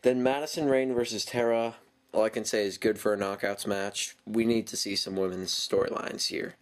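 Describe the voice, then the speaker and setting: A man's voice: on the low side, steady tone, moderate pace. Stuffy-nosed man rambling a wrestling review. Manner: rambling, with frequent ums and self-corrections. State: stuffy from a cold. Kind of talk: casual spoken review.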